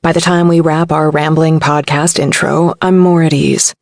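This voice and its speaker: Woman narrating, creaky voice, very dry. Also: sits low, level tone, moderate pace, darkish tone, dry recording. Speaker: audiobook narrator. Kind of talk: scripted first-person novel narration.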